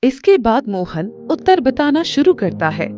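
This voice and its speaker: A woman narrating a cartoon moral story in an expressive storytelling manner. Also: high-pitched, measured pace, muffled recording.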